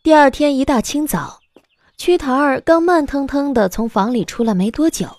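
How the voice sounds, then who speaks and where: Lively high-voiced woman narrator. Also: normal speed, dry recording. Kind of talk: expressive scripted audiobook narration.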